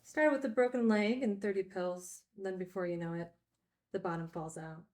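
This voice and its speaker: Woman actor, candid recovering addict, wry, plainspoken film-drama delivery, medium pitch. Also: steady tone, normal speed, a little mellow, close to an everyday voice. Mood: reflective, confessional. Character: Frankie, candid woman, recovering addict and group-home teacher. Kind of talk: naturalistic screenplay table read.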